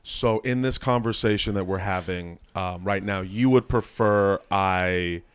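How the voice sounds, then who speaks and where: A man with a fairly low voice hosts a casual podcast interview. He speaks in a measured, careful way, mostly offering short replies. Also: smooth. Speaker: podcast host.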